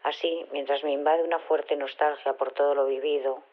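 Earnest, bookish woman on voicemail, sincere, measured, tinny telephone sound, audio drama. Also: low-pitched, fairly even intonation. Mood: solemn, nostalgic. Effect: telephone voicemail filter. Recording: tinny phone-line voicemail.

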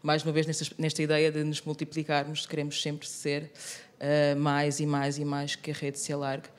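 A woman's voice: low voice, monotone, normal speed. A woman theatre maker answering interview questions in a playful, earnest way.

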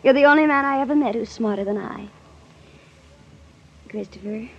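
A woman's voice: on the high side, normal speed, lightly acted. A woman playing a coy, flirtatious and cunning society lady in 1940s radio drama. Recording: dull, hissy vintage radio recording.

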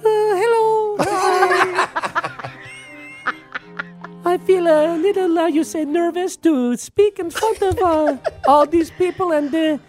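A man voicing a nervous groom with a mock French-Italian accent, halting and full of broken grammar. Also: extremely high pitch, soft background music. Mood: nervous, flirty. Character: bumbling foreign groom reading clumsy vows.

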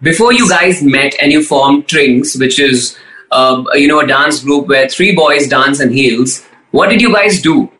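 A man's voice: a little high, a little slow, sharp tone. A man hosting a podcast, putting questions to his guests in a casual interview style. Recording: echoey room.

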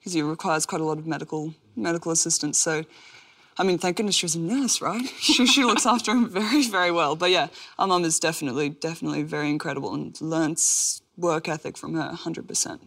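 A woman's voice: low voice, quick pace, fairly bright tone. A woman, answering interview questions in a chatty, self-deprecating way with lots of fillers. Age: 20s. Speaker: interview guest, athlete.

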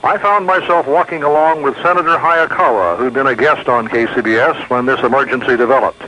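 Veteran male American radio reporter, forceful and crisp-edged, telling a first-person story with dry wit. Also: medium pitch, a little fast, poor audio. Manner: wry, vividly descriptive storytelling. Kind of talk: scripted first-person news narration.

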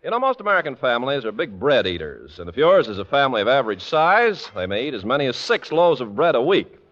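A man's voice: mid-range voice, sing-song, quick pace, a bit bright. A man announcing on a 1940s radio show with a polished, emphatic salesman's delivery. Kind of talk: 1940s radio commercial and scripted narration. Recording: muffled vintage radio recording.

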